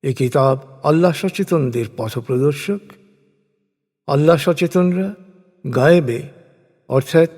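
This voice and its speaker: Male narrator for solemn scripture reading: husky tone, deliberate phrasing, long reverberant tail on the voice. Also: on the high side, a little mellow. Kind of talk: solemn scripted religious recitation of translated scripture and commentary.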